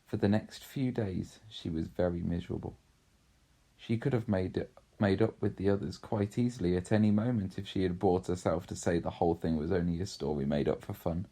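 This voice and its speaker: A man reads a children's story. His voice is low and mellow, with a gravelly creak. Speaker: story reader.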